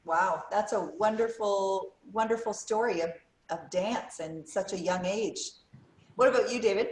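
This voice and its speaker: A woman co-hosts a casual interview, chiming in with short, encouraging remarks and questions. Her voice sounds roomy, with a clear echo, as from a video call. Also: mid-range voice, normal speed, a little mellow. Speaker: co-host. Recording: echoey room.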